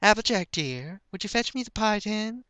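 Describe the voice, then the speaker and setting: A man voicing a kindly, brisk country mother pony in a folksy, motherly manner. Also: high voice, animated, bright, forceful tone, clean tone, dry recording, voice pushed up. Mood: coaxing.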